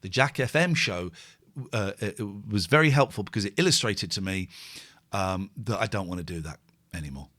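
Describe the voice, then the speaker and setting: A man's voice: lowish voice, lively intonation, moderate pace, a bit bright. Rambling man reading chat comments. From England. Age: 40s. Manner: rambling, self-mocking, reads viewer comments aloud. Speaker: host. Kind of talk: casual live-stream chat.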